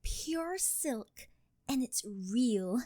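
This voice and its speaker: A woman's voice: fairly high pitch, lively intonation, very slow, mellow, no room sound, studio quality. A woman playing a rich, possessive, doting older girlfriend in an ASMR roleplay, coy, cutesy and teasing as she sweet-talks. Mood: flustered, eager.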